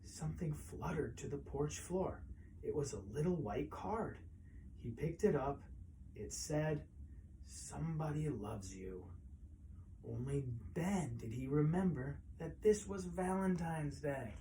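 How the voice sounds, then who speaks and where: A man reading a children's picture book aloud as a storyteller, expressively, with pauses and emphasis. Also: on the high side, expressive, mellow, slight background noise, rough recording.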